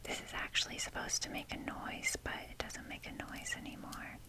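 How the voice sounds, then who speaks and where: A woman whispering softly and breathily in a casual, chatty, self-deprecating ASMR ramble, with the odd mild swear. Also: fairly quick. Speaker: ASMR video creator.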